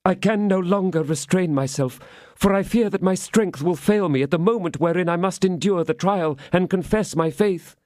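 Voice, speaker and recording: A man's voice: high voice, normal speed, darkish tone, dry recording, clean studio sound, voice pushed up, natural voice. A man voicing a scheming woman prisoner who pleads and prays in feigned, sobbing despair. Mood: pleading.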